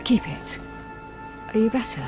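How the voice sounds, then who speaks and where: A woman's voice: a little slow, over music, close to an everyday voice. A woman voicing a poised, aristocratic duchess in a video game, formal and refined. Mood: concerned. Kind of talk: video game cutscene acting.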